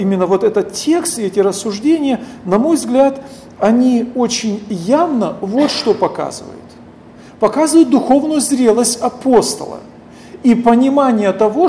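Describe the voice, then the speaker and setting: A middle-aged man preaches with a voice that rises and falls widely. He reads scripture slowly, then breaks off to ask his listeners questions and call them friends. His tone is mellow and warm, with a faint hiss under it. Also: very high-pitched. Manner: deliberate, keeps addressing listeners as friends, asks rhetorical questions. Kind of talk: sermon with scripture reading.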